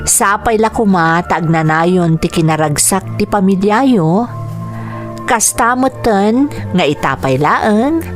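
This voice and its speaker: Casting: female radio drama host. Scripted letter-program narration over light music. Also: on the low side.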